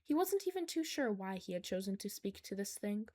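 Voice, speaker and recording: A woman's voice: medium pitch, big pitch swings, a little fast, a little mellow. Woman narrating a story. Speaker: fan fiction reader.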